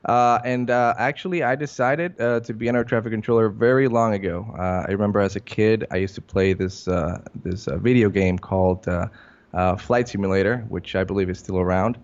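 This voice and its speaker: A man answering interview questions as an air traffic controller, in a halting, hesitant way full of fillers, on a muffled recording. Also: fairly low pitch. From Puerto Rico.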